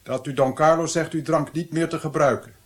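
Male actor for radio play: haughty, threatening friar, zealous, formal, rather high-pitched. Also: steady tone, fairly quick, natural voice. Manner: formal, accusing, sanctimonious. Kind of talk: radio drama acting.

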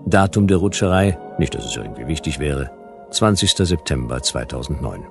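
A man's voice: very deep voice, lively intonation, brisk, creaky, music in the background, exaggerated voice. An actor playing a world-weary, wry private detective who narrates his case in laconic, sardonic phrases in a radio play. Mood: dry, sardonic. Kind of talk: hard-boiled radio drama narration.

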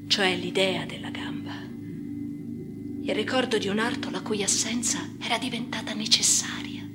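An actress playing a haunted, obsessive woman in an intimate radio drama monologue, breathy and often whispering, with slow, halting, intense phrasing. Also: medium pitch, close to an everyday voice. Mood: hushed, reverent. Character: haunted, obsessive female amputee confiding in her chosen successor. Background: music.

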